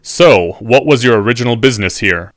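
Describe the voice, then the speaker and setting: A man's voice: mid-range voice, expressive, fairly quick, no echo, clean studio sound, natural voice. A man voicing a menacing, sardonic professor in an audiobook story, formal and biting in his speech. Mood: dry, amused.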